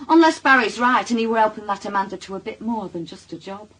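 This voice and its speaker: An actress playing a shrewd, suspicious wife with a Northern English accent and a dry, bitter wit in a naturalistic radio drama, speaking in a pointed, probing way. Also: female voice, medium pitch, fast, fairly bright tone, lightly acted, low-quality recording. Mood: suspicious, sarcastic.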